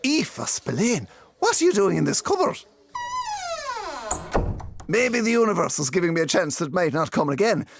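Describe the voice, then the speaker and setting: A man's voice: sits high, sing-song, normal speed, pauses often, background noise. A man playing a lovestruck, awkward young schoolboy in a comic audio drama, gushing with earnest melodrama. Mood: nervous hope.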